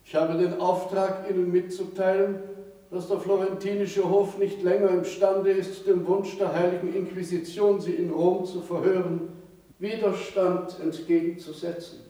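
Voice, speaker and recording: Man as formal court official, cold, high mellow voice, measured announcing, radio play. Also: flat delivery, natural voice. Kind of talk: radio drama acting. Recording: echoing hall, far from microphone.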